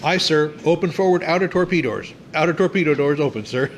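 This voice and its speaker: An actor playing a dutiful submarine crewman, calling back orders loudly in military style in a war drama. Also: a little high, animated, a little fast, a little hiss or hum. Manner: loud, military call-outs. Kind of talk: radio-play style war drama acting.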